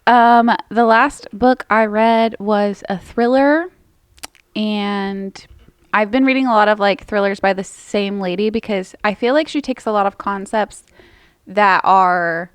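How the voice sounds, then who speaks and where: A woman's voice: mid-range voice, measured pace, smooth, no room sound. A woman in her twenties co-hosting a podcast, talking casually and rambling with lots of fillers. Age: 20s. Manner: chatty, rambling with many fillers. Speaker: podcast co-host. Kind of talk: casual chat.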